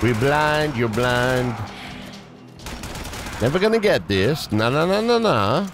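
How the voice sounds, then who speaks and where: A man giving casual let's play commentary, talking to himself with excitable exclamations and repeated words. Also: medium pitch, slow pace. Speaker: video game streamer. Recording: game music and gunfire behind. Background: game music and gunfire.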